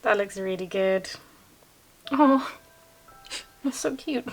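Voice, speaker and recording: A woman's voice: medium pitch, slow pace, rough recording. A woman co-hosting a craft video, chatting informally with an appreciative, exclamatory manner. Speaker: craft channel co-host. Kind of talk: casual crafting chat. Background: background music.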